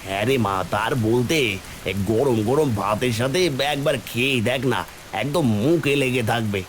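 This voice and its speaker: A man playing a humble, coaxing fisherman father in a cartoon fairy tale, speaking in a homely, expressive way. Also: mid-range voice, normal speed, close to an everyday voice. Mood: reassuring. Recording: clear rain and hiss behind.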